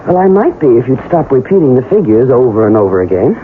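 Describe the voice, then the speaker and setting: A man's voice: mid-range voice, very animated, quick pace, phone-quality sound, close to an everyday voice. A man playing a dry, sceptical, needling accomplice in a radio drama, wry and questioning. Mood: sardonic. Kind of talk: 1980s radio drama acting. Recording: thin, hissy old radio broadcast.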